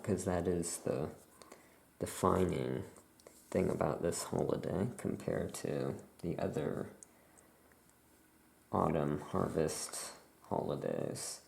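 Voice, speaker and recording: A soft-spoken ASMR host musing in a hushed, self-deprecating way while chatting through a craft task. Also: sits low, creaky, slight background noise.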